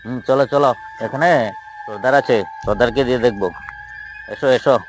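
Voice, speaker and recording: A man's voice: medium pitch, fairly quick, noisy background, natural voice. A man playing an earnest, reassuring villager in an improvised comedy skit, repeating himself and constantly checking for agreement. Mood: instructing. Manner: repetitive, constantly seeking agreement. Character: earnest villager guide organizing a jinn hunt, reassuring.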